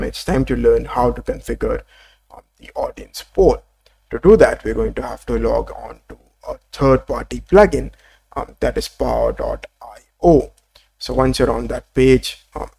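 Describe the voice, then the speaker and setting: Gravelly man walking through software. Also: mid-range voice. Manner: casual, many fillers and small apologies. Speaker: software tutorial presenter. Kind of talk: screen-recorded software walkthrough.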